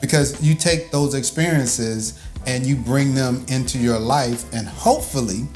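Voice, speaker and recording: A middle-aged man speaks at an easy middle pitch, talking through leadership ideas as if chatting with his daughter. He teases lightly and chuckles between points. Faint music sits under the voice. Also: unhurried, a little mellow. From Birmingham, Alabama. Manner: teasing, laughs often. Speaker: father and video host. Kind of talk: casual teaching chat.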